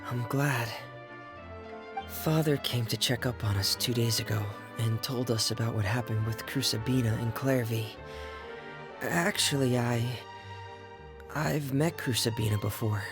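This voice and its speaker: A man voicing a reserved, hesitant young man in a video game, speaking softly. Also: medium pitch, unhurried, lightly acted. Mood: subdued. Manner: soft-spoken, hesitant. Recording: game audio over music.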